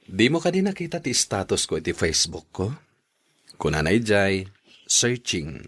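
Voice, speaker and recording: A man with an Ilocano accent playing a flattering, wheedling suitor in a radio drama. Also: sits low, moderate pace, slightly rough, heavily acted. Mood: flirtatious. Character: Billy, smooth-talking flirtatious male suitor and recruiter. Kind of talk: Filipino radio drama acting.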